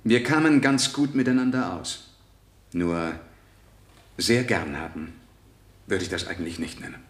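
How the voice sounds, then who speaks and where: A defendant in a radio courtroom drama. His voice is on the low side and swings widely as he defends himself haltingly, with a roomy echo around him. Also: animated. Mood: guarded. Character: defensive male defendant accused of a shelter killing. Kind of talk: 1970 German radio drama acting.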